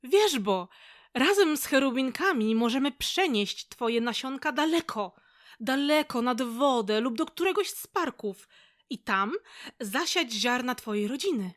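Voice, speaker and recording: A kindly stone goddess of spring played by a woman in a radio play. The voice is fairly high and eager, recorded close and dry. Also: lively intonation, measured pace, dry recording. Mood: eager, helpful.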